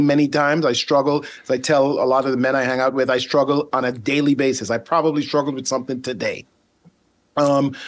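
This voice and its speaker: Dutch-speaking man from Saint Martin. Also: on the high side. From Saint Martin, Caribbean. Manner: thoughtful, frequent ums, earnest. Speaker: guest, ethical hacker. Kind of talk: casual interview conversation.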